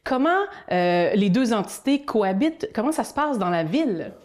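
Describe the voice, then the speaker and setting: A woman hosting a TV interview in a studio, asking questions politely and formally, with pauses. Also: medium pitch, expressive, darkish tone. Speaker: host. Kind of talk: TV talk show interview.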